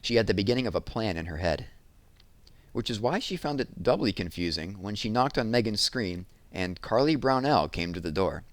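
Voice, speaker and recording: A man's voice: on the low side, expressive, normal speed, no echo. A man reading a children's novel aloud as a storytelling narrator.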